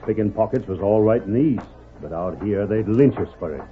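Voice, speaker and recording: An actor playing a sly, folksy medicine-show huckster with bombastic, drawling patter in an old radio western. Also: male voice, lowish voice, fairly quick, faint music behind, natural voice. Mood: scheming, bossy. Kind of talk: 1940s radio western acting. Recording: old tinny narrow-band radio recording.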